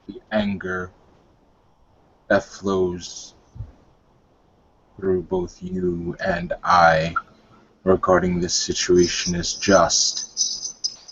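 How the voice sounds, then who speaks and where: A man voicing a respectful, grave young male werewolf with formal, deliberate speech in a tabletop roleplay. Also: sits low, creaky, fairly bright tone, a little hiss or hum, full character voice. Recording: dull, lo-fi online call.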